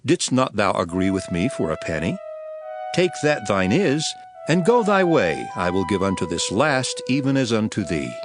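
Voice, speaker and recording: Solemn male teacher of parables, low voice with lively melodic swings, grave authority, music behind. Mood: storytelling, emphatic. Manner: solemn, declamatory, measured. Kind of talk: dramatized scripture reading.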